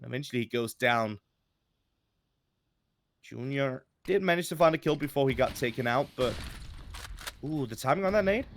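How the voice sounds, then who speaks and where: A man's voice: medium pitch, lively intonation, no room sound. Laid-back male color commentator. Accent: non-English. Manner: casual, halting, with filler words and repeats.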